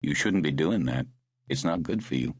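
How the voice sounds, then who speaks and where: A male narrator voicing a loyal, worried middle-aged chauffeur with a gruff, protective manner. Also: very deep voice, expressive, normal speed, no echo, studio quality, heavily acted. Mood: concerned.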